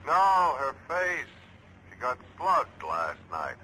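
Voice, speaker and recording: Punchy insurance adjuster, very sharp voice, animated; old radio drama with light hiss. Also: male voice, medium pitch, takes it slowly, exaggerated voice. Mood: urgent, dry. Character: businesslike, worried male insurance adjuster on the job. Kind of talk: 1950s radio drama acting. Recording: noisy vintage radio recording.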